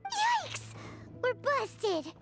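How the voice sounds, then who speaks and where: A woman voicing a tiny childlike fairy companion in a video game, squeaky, blunt and excitable. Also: drawn-out words, heavily acted. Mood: startled. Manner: blunt, exclamatory. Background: background music.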